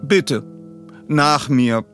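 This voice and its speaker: A man's voice: medium pitch, very slow, a bit bright, pitched above the performer's own voice. A haughty butler in his late forties, acted out by a male narrator. He speaks with stiff, condescending formality, in an audiobook reading with music.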